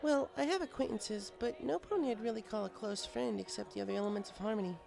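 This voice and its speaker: A man's voice: sits high, quick pace, vocal fry, over music, pitched above the performer's own voice. A man voicing a studious, polite young female pony with a precise, bookish manner in a storybook reading. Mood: tipsy, confiding.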